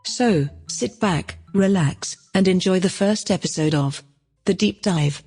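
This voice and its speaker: A woman's voice: lowish voice, measured pace, darkish tone, breathy edge, soft background music. A woman playing a self-promoting, cheerfully smug AI who presents her own podcast in a scripted comedic monologue. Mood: smugly cheerful. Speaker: podcast creator and host.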